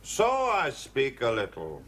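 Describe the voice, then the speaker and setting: A man's voice: mid-range voice, huge pitch swings, takes it slowly, background noise. An actor playing an evasive, cocky male boat owner with a Flemish accent, giving terse and insolent replies in broken English.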